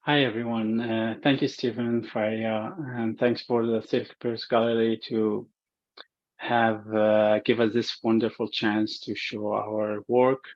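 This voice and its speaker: A slow-talking man introduces his paintings in a level, even voice, pausing often to find his words. The sound is dry and a bit muffled, as if captured on modest equipment in a gallery. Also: on the low side, no echo. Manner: halting, searching for words, many fillers. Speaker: visual artist. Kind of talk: speaking at an art show.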